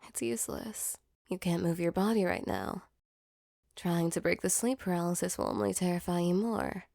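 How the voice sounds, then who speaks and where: A woman voicing a female sleep paralysis demon in an intimate ASMR roleplay, with a soft, close delivery. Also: on the low side, normal speed, gravelly, dry recording. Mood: taunting, menacing. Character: female sleep paralysis demon, mock-menacing turned tender and flustered.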